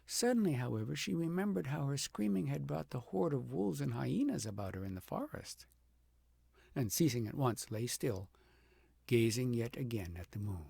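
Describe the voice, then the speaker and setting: A man narrating a fairy tale aloud as an audiobook, with dramatic, deliberate phrasing that swells for emphasis and drops to a hush. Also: mid-range voice, expressive, vocal fry, breathy edge, dry recording. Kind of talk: expressive storybook narration.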